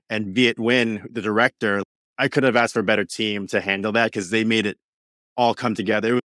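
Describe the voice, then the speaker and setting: A young man talks in a low voice. He is chatty and upbeat, and he drops in plenty of "like"s. The sound is dry and close. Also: fairly bright tone, no echo. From New York, USA. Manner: upbeat, chatty, quick with fillers like "like". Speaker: actor guest. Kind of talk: casual interview chat.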